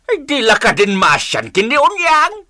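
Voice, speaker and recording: Bright, animated gossiping neighbour. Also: female voice, on the high side, moderate pace, no room sound, clean studio sound, heavily acted. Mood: surprised, pitying. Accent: Ilocano Filipino. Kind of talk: Filipino radio drama acting.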